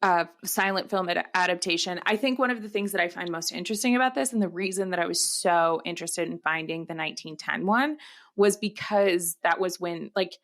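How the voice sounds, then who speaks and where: A woman's voice: lowish voice, fairly quick, fairly bright tone. Woman podcast co-host chatting casually. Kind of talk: casual chat.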